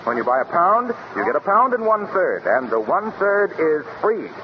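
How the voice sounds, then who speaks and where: A man's voice: high voice, big pitch swings, normal speed. A man announcing a 1930s radio show with a polished, emphatic salesman's delivery. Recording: noisy, muffled 1930s radio recording.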